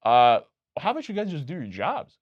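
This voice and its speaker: Romanian-accented man with a lively voice. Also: a little high, brisk, dry recording. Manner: animated, opinionated, many restarts. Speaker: political candidate, guest. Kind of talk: casual interview talk. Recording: dull lo-fi sound.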